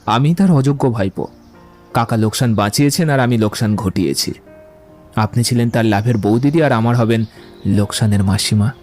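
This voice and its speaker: Witty young Bengali gentleman acted by a man, theatrical. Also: medium pitch, a little fast, faint music behind, natural voice. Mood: playful, charming. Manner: theatrical, playful, courteous. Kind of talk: Bengali audio drama acting.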